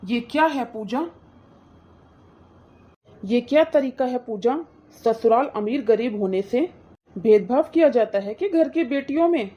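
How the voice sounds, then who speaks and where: A woman's voice: a little high, lively intonation, unhurried, pauses often, dark tone. A woman voicing an indignant, protective brother in a cartoon moral story, scolding his wife with insistent, reproachful questions.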